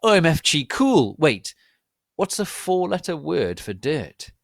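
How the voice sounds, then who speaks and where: A man voicing an emo, naive young male Satanist, whiny and excitable in an over-dramatic way. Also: a little high, a little slow, creaky, dry recording, studio quality, pitched above the performer's own voice, full character voice. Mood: excited.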